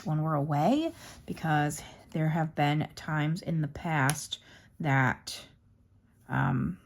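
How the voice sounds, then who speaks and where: A young woman chats to her viewers while she colors, her voice sitting a little low and turning slightly hoarse. She rambles easily from one thought to the next in a casual, unscripted way. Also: a little mellow. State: losing her voice. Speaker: craft YouTuber. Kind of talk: casual chatty craft vlog.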